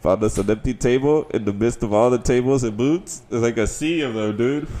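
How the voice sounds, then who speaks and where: A man co-hosting a podcast, telling stories in relaxed, slangy banter. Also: medium pitch, fairly quick. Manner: laid-back slangy talk. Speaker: podcast co-host. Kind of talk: casual banter and storytelling.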